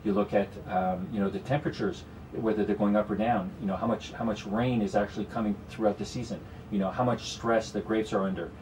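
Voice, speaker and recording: A man's voice: fairly low pitch, fairly even intonation, fast, a little mellow, distant mic, slight background noise, poor audio. A man with a Canadian accent, a guest strategist explaining investments in a radio studio conversation, with many "you know"s. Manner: explanatory, talks in long runs with frequent "you know".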